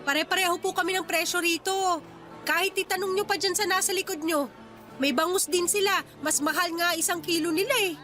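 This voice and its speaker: Feisty young female fish seller, radio drama; very high, forceful, coaxing yet defiant. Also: fairly even intonation, faint music behind. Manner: pleading sales talk, quick to talk back. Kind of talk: Tagalog radio drama acting.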